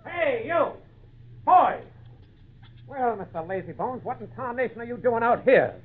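A man's voice: very high voice, very animated, measured pace, husky, heavily acted. A man playing a harsh, greedy circus concession boss with folksy bluster in an old-time radio drama. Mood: barking, angry. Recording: tinny band-limited 1940s radio recording.

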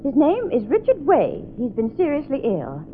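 Bossy prim schoolteacher, old radio drama, high swoopy voice, tinny noisy recording. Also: female voice, huge pitch swings, moderate pace. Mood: matter-of-fact. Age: middle-aged. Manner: haughty, precise, matter-of-fact. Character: prim, stubborn, imperious veteran spinster schoolteacher. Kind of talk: 1940s radio comedy-mystery acting.

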